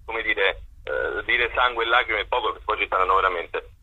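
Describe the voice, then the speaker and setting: A husky-voiced man answering conversationally in a radio interview, digressing and correcting himself, on a slightly lo-fi line. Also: fairly low pitch, a little fast, bright, forceful tone. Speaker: actor, interview guest.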